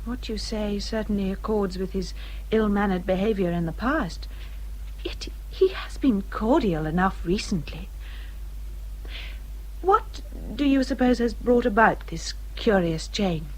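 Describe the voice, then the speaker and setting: An actress playing a gentle young English gentlewoman in a period radio drama, speaking softly, politely and formally. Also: medium pitch, expressive, unhurried, a little hiss or hum, lightly acted, low-quality recording. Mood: curious, measured. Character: gentle, proper young Regency gentlewoman, easily troubled.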